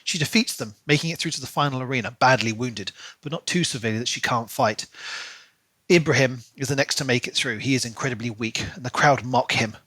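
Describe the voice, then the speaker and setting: A man with a medium-pitched, bright voice co-hosts a book podcast. He talks listeners through the story, and the sound is very dry, with hardly any room on it. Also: a little fast, dry recording, rough recording. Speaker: podcast co-host. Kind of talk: casual book chat and reading a written plot recap.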